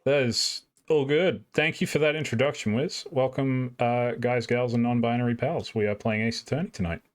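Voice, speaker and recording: A man's voice: mid-range voice, fairly even intonation, normal speed. A man hosting a casual live game dub stream, chatting in a joking, sweary way.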